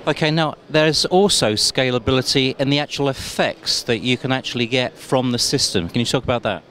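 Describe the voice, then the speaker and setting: A man's voice: mid-range voice, fairly quick, fairly bright tone. A man interviewing, asking prompting questions. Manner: inquiring, prompting.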